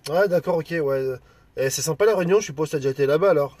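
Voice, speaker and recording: A Frenchman chatting casually as an amateur radio operator, in halting, colloquial phrases. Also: a little high, darkish tone, a little hiss or hum.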